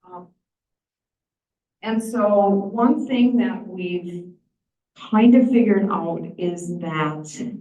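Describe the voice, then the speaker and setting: A woman's voice: fairly low pitch, mellow. A woman giving a plain-spoken, explanatory presentation at a meeting. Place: large meeting room. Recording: echoey room, distant mic, dull sound.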